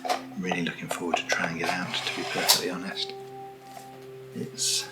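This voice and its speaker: British man, low voice, soft whispery ASMR chat, unhurried, gently rambling, music underneath. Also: monotone. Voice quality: breathy, whispery. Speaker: host of ASMR unboxing videos.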